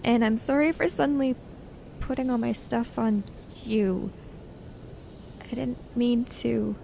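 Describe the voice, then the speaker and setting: Creaky, higher-voiced woman role-playing. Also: a little slow, mellow. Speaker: tabletop game player. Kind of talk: improvised tabletop role-play, narrating and speaking in character. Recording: noisy track with cricket ambience.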